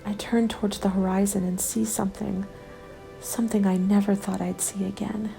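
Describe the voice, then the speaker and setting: Woman, ASMR story narrator, soft whispery delivery, mellow tone, even pitch, measured, scripted first-person narration. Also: creaky, rough recording. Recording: background music under the voice. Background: music.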